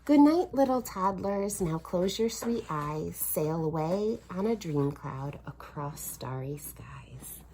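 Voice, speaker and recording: A woman in her thirties reading a bedtime picture book aloud to toddlers at home, gentle and sing-song, sometimes dropping to a whisper. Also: on the low side, lively intonation, takes it slowly, hardly any pauses, background noise. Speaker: children's video host reading bedtime stories.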